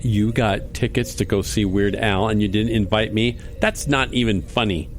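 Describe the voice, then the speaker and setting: A man playing a goofy, absent-minded dad in a comedy radio play, earnest and animated. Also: lowish voice, normal speed, a little hiss or hum, natural voice. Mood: indignant.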